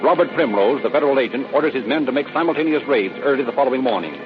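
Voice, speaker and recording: A man's voice: mid-range voice, animated, racing. A man narrating in a dramatic, scripted 1930s radio announcer style. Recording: dull old radio broadcast. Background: music.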